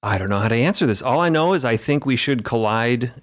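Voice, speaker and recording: A man's voice: medium pitch, animated, fast talker. A man playing a tabletop game, chatting in a casual, playful way. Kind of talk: casual chat during a game.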